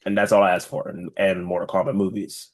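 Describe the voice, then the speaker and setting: Low-voiced man in emphatic casual chat. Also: level tone, fast talker. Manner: emphatic, informal, mild swearing. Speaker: podcast co-host.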